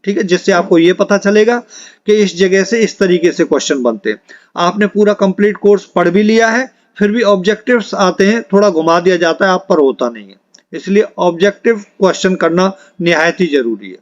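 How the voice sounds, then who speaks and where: Higher-pitched man teaching, muffled audio. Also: animated, fairly quick. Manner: instructive, directly addressing students. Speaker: exam-prep teacher. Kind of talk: reading multiple-choice quiz questions and answers aloud as a lesson.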